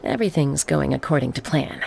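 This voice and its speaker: A woman voicing a calm, self-assured female companion in a video game, composed and reassuring. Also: deep voice, a little fast, vocal fry, a little hiss or hum, heavily acted.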